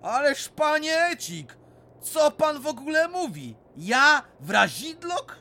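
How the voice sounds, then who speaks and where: An actor playing a boastful male miner who spins tall tales in a lively, theatrical manner in a radio drama. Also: extremely high pitch, slow pace, full character voice. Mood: indignant. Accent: Silesian. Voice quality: lively storyteller, swooping theatrical delivery.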